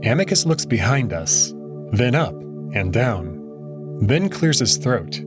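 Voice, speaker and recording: A man's voice: medium pitch, sing-song, slow, over music. A man giving dramatic scripted narration as he reads a visual novel story aloud.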